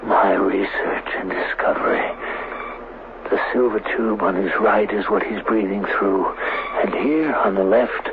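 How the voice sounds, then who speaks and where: An actor playing an obsessed, proud male scientist in a 1970s radio drama, speaking in a deliberate, grandiose, theatrical manner. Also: lowish voice, fairly even intonation, narrow, tinny audio, natural voice. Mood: proud, explaining. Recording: noisy, thin 1970s radio broadcast.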